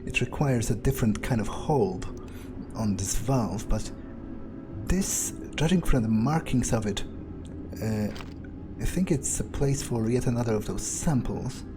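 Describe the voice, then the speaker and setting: Male gamer, in-character vampire musing, slow and halting, mid pitch, noisy ambience behind. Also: lively intonation. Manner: musing, halting, muttering to himself. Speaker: gamer playing through a role-playing game in character. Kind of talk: in-character game playthrough, thinking aloud.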